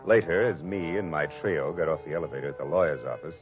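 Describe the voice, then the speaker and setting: An actor playing a wry, tough private detective with dry wisecracks, in 1940s radio acting on a dull lo-fi old radio recording. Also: male voice, extremely low pitch, fast, faint music behind. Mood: narrating.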